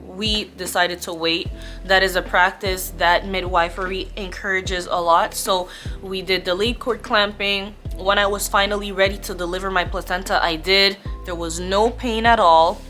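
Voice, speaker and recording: A young adult woman speaking as a vlogger in a casual storytime, candid and chatty with expressive emphasis. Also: medium pitch, normal speed. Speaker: vlogger telling her birth story. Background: background music.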